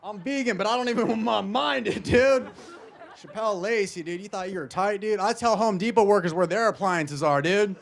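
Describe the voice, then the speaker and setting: A man's voice: high-pitched, normal speed, creaky. An American man hosting a stand-up show with brash, mock-tough bro talk, tacking dude onto his sentences. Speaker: comedy show host.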